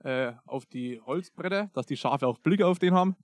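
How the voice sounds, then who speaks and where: A man's voice: medium pitch, animated, moderate pace, no echo. A man with a Franconian accent, a sheep keeper chatting casually and with jokes as a podcast guest. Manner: dialect-heavy, laughing, jokey. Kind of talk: casual chat. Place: sheep barn.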